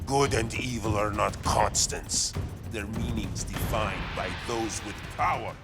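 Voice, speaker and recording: A man's voice: lowish voice, unhurried, gravelly. A man playing a stern, imperious antihero ruler in a video game, with formal, declamatory delivery. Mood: menacing. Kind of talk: dramatic video game acting. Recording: loud music behind.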